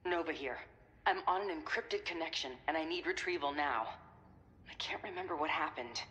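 An actress playing a guarded, determined young soldier in a video game, terse and military. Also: female voice, low voice, normal speed, sharp tone, dull audio. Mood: tense, alert.

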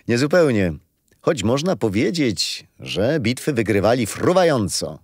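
A kindly old grandfather in a children's radio play, explaining things patiently with gentle storytelling. His intonation moves around in a lively way and the sound is close and dry. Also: medium pitch, slow pace, breathy edge, no echo, full character voice. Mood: storytelling, amused.

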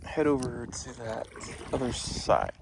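Male outdoor vlogger, rambling and playful, creaky voice, recorded in a kayak with clear background noise. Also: mid-range voice, expressive, unhurried. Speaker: host. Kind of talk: casual outdoor vlog, talking to himself. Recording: noisy outdoor recording on the water.

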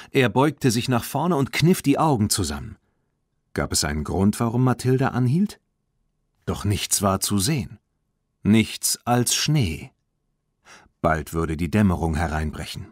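A man narrating a children's audiobook in an expressive, dramatic storytelling manner. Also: on the low side, no room sound, studio quality.

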